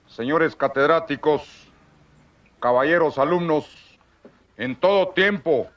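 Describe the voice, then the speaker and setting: High-pitched, declamatory Guatemalan man, dull recording. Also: slow. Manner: formal, declamatory. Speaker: military school speaker. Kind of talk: formal ceremonial speech. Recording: dull, lo-fi archive sound.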